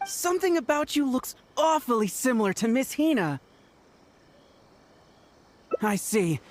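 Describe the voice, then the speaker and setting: A woman's voice: high voice, big pitch swings, unhurried. A woman voicing a devoted, eager idol fan in a video game. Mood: reverent. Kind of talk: English video game voice acting. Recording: music under the voice. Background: game music.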